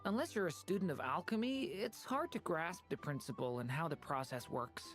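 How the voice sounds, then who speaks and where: A man's voice: on the high side, lively intonation, normal speed, a bit bright. A man playing a polite, self-assured young alchemist in a video game, speaking formally and with composure. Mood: composed, reassuring. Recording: music behind the voice.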